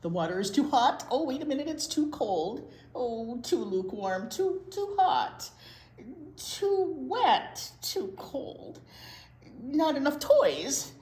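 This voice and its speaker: A woman's voice: high voice, very animated, slow pace, a little mellow, pitched above the performer's own voice, heavily acted. A woman voicing a stubborn, grubby pigeon who protests defensively against everything, in a children's picture book. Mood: fussy complaining. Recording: roomy echo, noticeable room noise.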